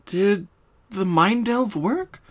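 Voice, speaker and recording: A woman voicing a concerned young female cartoon pony in a fan story, gentle, questioning and reassuring. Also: medium pitch, slow, voice pushed up.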